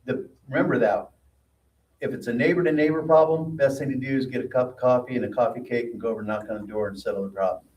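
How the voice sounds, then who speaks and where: A man's voice: medium pitch, steady tone, quick pace, darkish tone. A plainspoken man at a community meeting, giving residents practical advice in a reassuring tone. His voice sits in the middle of the usual range. The recording is roomy, from a distant mic with faint background noise. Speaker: association board member answering residents. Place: meeting room.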